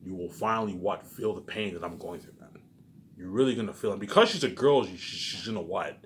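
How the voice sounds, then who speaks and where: A man's voice: deep voice, expressive, a little fast. A man rambling casually and repetitively to his audience, swearing often, with emphatic bursts that trail off into muttered tags. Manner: frequent swearing. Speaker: vlogger. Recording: clearly noisy background.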